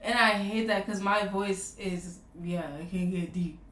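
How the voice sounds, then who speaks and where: A woman's voice: mid-range voice, fairly even intonation, measured pace, fairly bright tone. A woman in her twenties chatting casually, often trailing off mid-thought. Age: 20s. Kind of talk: casual chat. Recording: roomy echo.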